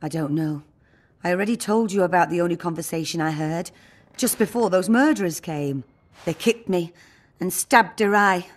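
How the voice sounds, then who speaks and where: A woman's voice: fairly low pitch, normal speed, lightly acted. An actress playing a shaken, secretive townswoman in a fantasy video game, earnest and urgent. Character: shaken, secretive female informant in fantasy town.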